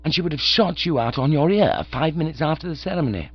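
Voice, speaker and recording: A man voicing an excitable young gentleman, slangy and exclaiming. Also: on the high side, fast talker, husky, highs cut off, voice pushed up. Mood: flustered.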